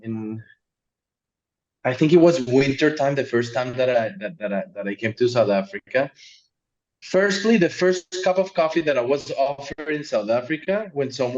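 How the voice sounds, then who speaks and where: A man's voice: medium pitch, darkish tone, muffled recording. A man from Colombia, a coffee importer telling stories as a guest, often repeating words as he goes. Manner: repeats words as he talks, storytelling. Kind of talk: casual interview talk.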